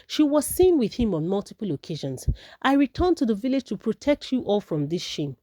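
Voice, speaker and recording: A woman storyteller voicing a smug, envious man from a folktale village, smooth, confident and accusing. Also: fairly high pitch, big pitch swings, a little fast, warm tone, no room sound. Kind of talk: storybook character voice.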